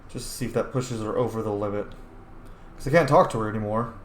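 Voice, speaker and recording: A gamer giving casual, excitable let's-play commentary, informal and prone to swearing. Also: medium pitch, fast. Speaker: gamer playing and reading out dialogue choices. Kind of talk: casual let's-play commentary with role-played dialogue read aloud. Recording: roomy echo, faint background noise.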